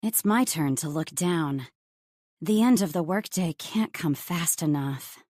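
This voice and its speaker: Low-voiced woman narrating romance fiction. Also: animated, a little slow, a little mellow, no echo, clean studio sound. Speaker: audiobook narrator. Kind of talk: first-person romance audiobook narration.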